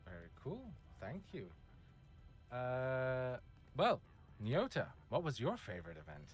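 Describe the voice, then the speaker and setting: A man's voice: medium pitch, huge pitch swings, slow, slightly rough, faint music behind. A man hosting a livestream, briefly thanking guests and asking them casual questions. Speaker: livestream host.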